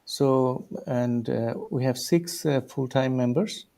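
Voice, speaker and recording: A man speaking as a startup founder on a video call. His voice is mellow and warm at a middle pitch. He answers haltingly, with frequent "uh"s and repeated words. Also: gravelly. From Bangladesh. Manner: hesitant, restarts phrases, many "uh"s. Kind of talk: interview answers.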